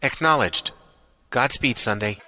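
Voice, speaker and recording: A man's voice: mid-range voice, a little slow, slight background noise. A man playing a principled, commanding colonel, solemn and dramatic, in a musical science fiction audio drama. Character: principled male colonel, commanding, morally anguished idealist. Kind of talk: musical audio drama acting, partly sung. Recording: loud music behind the voice.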